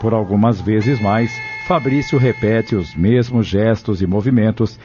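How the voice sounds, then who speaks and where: A man's voice: fairly low pitch, a little slow. A man with a Brazilian accent reading scripted narration for an audio novel. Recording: dull recording with faint music.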